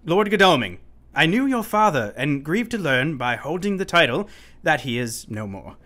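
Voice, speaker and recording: An unhinged asylum patient, formal wording, voice swings up and down, kinda sharp, radio play. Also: male voice, fairly high pitch, big pitch swings, normal speed. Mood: courtly, sly. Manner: formal, urgent, given to sudden chuckles. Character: unsettled, pleading, well-spoken asylum inmate, man.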